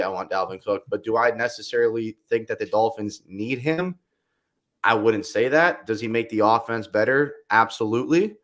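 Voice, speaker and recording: A young man with a loud voice, talking casually as a podcast guest, animated and quick in bursts. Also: mid-range voice. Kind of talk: casual chat.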